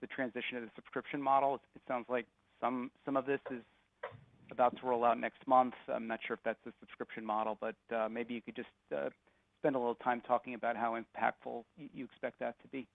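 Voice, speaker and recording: A man's voice: mid-range voice, flat delivery, fairly quick, vocal fry. A man asking a question as a financial analyst on an earnings call. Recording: dry narrow phone line.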